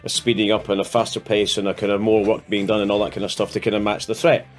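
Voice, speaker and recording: A man's voice: lowish voice, flat delivery, fast talker, faint music behind. A man co-hosting a podcast, chatty and casual, with lots of "kind of" fillers. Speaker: podcast co-host.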